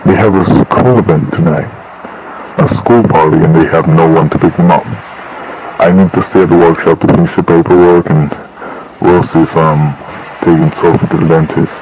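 A man playing a working family man on a phone call, speaking casually and haltingly in a horror cartoon. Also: deep voice, fairly even intonation. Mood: hesitant, friendly. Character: weary working family man asking a friend a favor. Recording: dull, noisy lo-fi phone recording.

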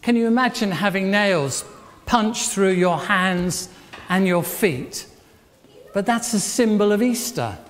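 A man's voice: sits high, animated, takes it slowly. An older man giving an interactive church talk, putting questions to listeners and repeating their answers back approvingly. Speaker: church leader at an all-age service. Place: church hall, addressing a congregation. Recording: echoey church hall, faint background noise.

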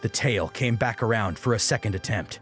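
A man's voice: lowish voice, brisk, music in the background. A man narrating an action story as an audiobook, in a dramatic scripted style.

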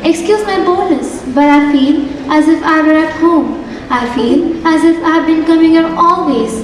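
A woman's voice: very high voice, moderate pace, a little hiss or hum. A young woman playing a gracious young female singer on a school stage, speaking in a polite, formal way. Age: young adult. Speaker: student actress. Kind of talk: stage acting in a school play. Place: large theatre stage. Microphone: far stage mic. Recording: echoey hall from far off.

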